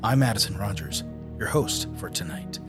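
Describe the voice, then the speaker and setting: A man's voice: on the low side, expressive, normal speed. A man hosting a radio mystery show, reading a spooky scripted introduction over music. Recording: music behind the voice.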